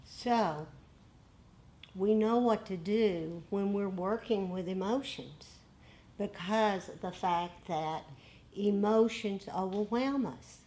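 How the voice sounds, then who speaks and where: Very slow-speaking woman teaching meditation. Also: medium pitch, warm tone. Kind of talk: dharma talk to a meditation group.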